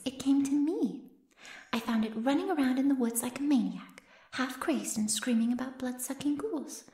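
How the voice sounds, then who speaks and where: A woman's voice: a little high, a little mellow, roomy sound, close to an everyday voice. A woman voicing a sly, haughty flesh-eating female monster in an ASMR roleplay, mocking and mock-innocent as she savors her words. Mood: mock innocence. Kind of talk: ASMR roleplay audio drama.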